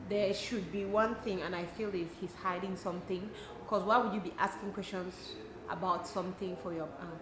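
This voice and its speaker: Casting: female vlogger, Cameroonian accent, emphatic and conversational, mid-range pitch; echoey, noisy home-style recording. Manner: animated, emphatic, conversational, rhetorical questions. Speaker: host of a YouTube channel. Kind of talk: casual advice vlog answering viewer questions. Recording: echoey room, clear background noise.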